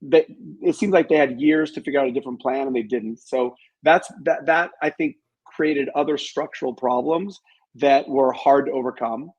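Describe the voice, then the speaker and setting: A man speaking as an interview guest in a measured, halting way, thinking aloud and qualifying his opinions. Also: medium pitch, a little mellow, husky, lo-fi sound. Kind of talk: reflective interview conversation about films.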